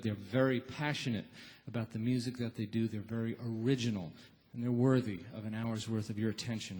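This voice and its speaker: An American man hosting a music radio show live on a theater stage, speaking earnestly and a little haltingly. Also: mid-range voice, darkish tone. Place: large theater stage, indoors. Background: guitar music.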